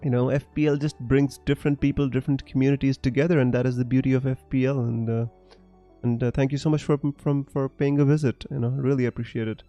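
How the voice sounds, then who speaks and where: A young man from Punjab with a blended accent that is hard to place talks loosely through a live stream, reading out comments and restarting his sentences. His voice is warm and mellow, sitting in the middle of the male range. Faint music plays underneath. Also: mid-range voice, level tone, fast. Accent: mixed, hard to place. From Punjab, India. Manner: chatty, frequent fillers and restarts, laughs often. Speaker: fantasy football streamer.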